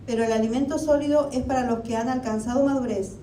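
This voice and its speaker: Woman preacher, high mellow voice, chatty sermon full of questions, roomy hall with the mic set back. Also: quick pace. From Argentina. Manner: conversational preaching with rhetorical questions. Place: church hall. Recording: echoey hall, mic at a distance, faint rumble.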